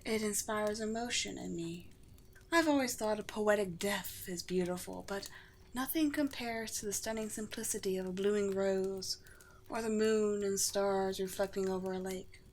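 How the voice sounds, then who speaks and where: A woman's voice: medium pitch, moderate pace, natural voice. Woman playing a serene vampire queen, noisy ambience. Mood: tender, wistful. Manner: formal, poetic, tender, lightly amused. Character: gentle, serene undead vampire queen, regal and sincere. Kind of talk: scripted ASMR roleplay addressed to the listener.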